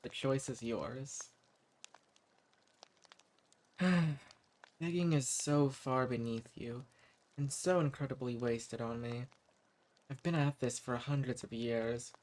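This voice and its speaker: A man's voice: medium pitch, lightly acted. A man playing a centuries-old vampire in an audio roleplay, speaking straight to the listener with condescending, taunting menace. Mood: coaxing, menacing. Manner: condescending pet names, taunting, unhurried menace. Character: centuries-old sadistic male vampire, coolly mocking and possessive. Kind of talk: scripted audio roleplay spoken directly to the listener.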